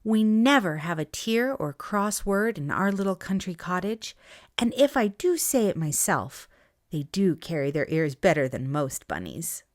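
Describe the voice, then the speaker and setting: Man putting on a high-pitched, gentle mother bunny. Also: big pitch swings, a little slow, no echo, studio quality. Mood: proud. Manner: polite, softly proud storybook speech. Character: gentle, proud mother cottontail rabbit.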